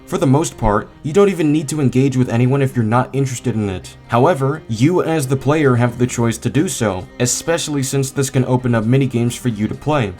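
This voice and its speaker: A man's voice: medium pitch, a little mellow. A man giving scripted, argumentative commentary as a video host, often posing pointed questions. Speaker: host of a video essay rebutting a game review. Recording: music behind the voice. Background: background music.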